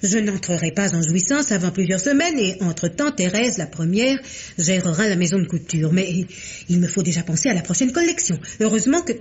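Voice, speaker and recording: Actress voicing a gossipy scheming heiress, lively up-and-down voice, giggling, old radio play. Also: female voice, medium pitch, fairly quick, natural voice. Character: scheming, chatty woman couture house heiress. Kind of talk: 1950s French radio drama acting.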